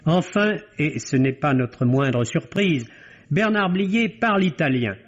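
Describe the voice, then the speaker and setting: A man's voice: fairly high pitch, expressive, slow. A man delivering a prepared film review as a radio critic in an articulate, literary and wryly ironic way, in 1950s French radio style. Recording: dull, band-limited vintage radio recording.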